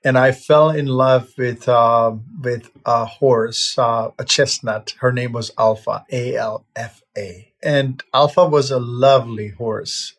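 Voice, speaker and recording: A man in his fifties who grew up in Czechoslovakia, talking as a vet about how he came to his work. He tells it casually and reflectively, stopping often on "uh" and starting phrases over. His voice sits at an ordinary middle pitch for a man. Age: 50s. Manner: reflective, with frequent "uh" fillers and restarts. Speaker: veterinarian sharing his life story. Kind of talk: casual personal storytelling.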